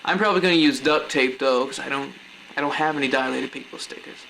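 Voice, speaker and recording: Bright-voiced man giving a casual tutorial. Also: mid-range voice, quick pace, vocal fry, rough recording. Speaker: presenter of a DIY how-to video. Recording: noticeable background noise.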